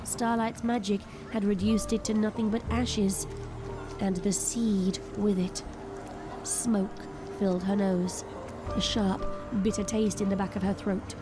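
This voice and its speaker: Woman narrating a dark drama over music. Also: medium pitch, little pitch movement, a little slow.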